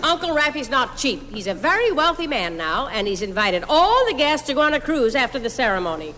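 High-voiced nagging radio comedy wife. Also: female voice, very animated, fairly quick, heavily acted. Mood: matter-of-fact, defensive. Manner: scolding, sardonic. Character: nagging, long-suffering, sharp-tongued wife. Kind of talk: old-time radio comedy sketch acting. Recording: noisy echoing old radio, loud studio audience.

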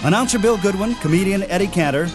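A man hosting a radio program and introducing it in a smooth, lively presenter's style. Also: high voice, fast talker, over music. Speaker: radio host.

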